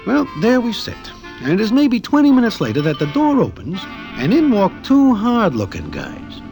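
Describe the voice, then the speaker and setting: High-pitched wisecracking New gambler. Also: male voice, wildly swooping pitch, moderate pace, gravelly, over music, heavily acted. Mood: wry storytelling. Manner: slangy, stilted formal street talk. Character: nervous wisecracking Broadway gambler narrating his own tale. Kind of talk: 1940s radio acting.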